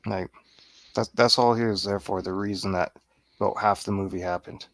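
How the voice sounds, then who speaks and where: A man's voice: low voice, fairly even intonation, normal speed, no echo. A man giving matter-of-fact, blunt opinions in a casual film chat. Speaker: guest film reviewer.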